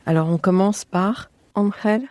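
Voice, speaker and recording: A woman's voice: medium pitch, unhurried, darkish tone, dry recording. A French woman hosting an interview podcast, presenting guests and putting questions to them. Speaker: podcast host. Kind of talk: scripted introduction and interview questions.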